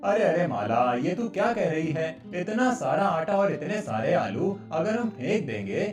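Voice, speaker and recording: A man's voice: a little high, very animated, a little slow. A man playing a greedy, scheming village shopkeeper in a cartoon moral story. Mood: insistent, scheming. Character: greedy, scheming village samosa seller, husband. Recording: roomy, distant, faint music underneath.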